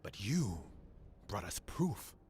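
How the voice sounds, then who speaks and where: Solemn, expressive fantasy lorekeeper. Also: male voice, fairly low pitch, slight background noise, full character voice. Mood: grave. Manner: solemn, deliberate, expository. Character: grave male scholar briefing adventurers on ancient monsters. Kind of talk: scripted fantasy game cutscene acting.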